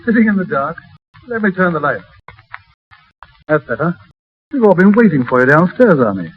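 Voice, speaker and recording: An urbane, devoted bachelor fiancé in an old radio play. His slightly high male voice is gentle and forbearing, a little far from the mic on a narrow vintage recording. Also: very animated, normal speed, husky. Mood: attentive, urbane. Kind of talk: 1930s radio drama acting. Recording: noisy, narrow, distant 1930s radio recording.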